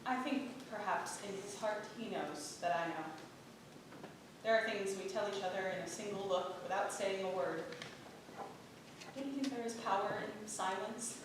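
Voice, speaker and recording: A resolute, guarded wife in a period play, acted by a woman, speaks with measured, earnest weight. The sound is distant and very echoey, as from a stage. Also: lightly acted. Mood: quiet, guarded. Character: weary, resolute, guarded wife keeping her husband's secrets. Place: theatre stage. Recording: roomy echoing stage, distant mic, rumble.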